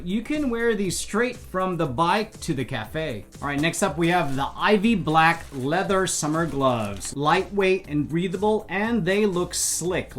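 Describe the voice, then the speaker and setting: A fairly high-voiced man chats his way through an unboxing, thinking aloud and tossing in quick asides. Faint music plays underneath his talk. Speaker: motorcycle vlogger. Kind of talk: casual product unboxing. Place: indoor bedroom.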